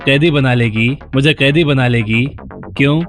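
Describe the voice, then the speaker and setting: An impatient, scornful woodcutter from a fairy-tale cartoon, acted by a man. He blusters dismissively in a medium-pitched voice, with music playing underneath. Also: a bit bright, lightly acted. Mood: scornful, suspicious. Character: gruff, impatient male woodcutter, scornful but gullible.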